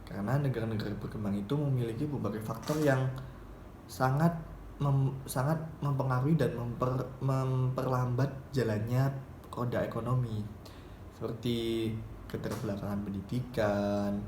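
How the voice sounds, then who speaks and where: A young man gives a semi-formal student presentation, explaining in a halting way and often repeating or correcting his phrases. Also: medium pitch, steady tone, darkish tone. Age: young adult. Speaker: university student presenting a paper. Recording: roomy echo, faint background noise.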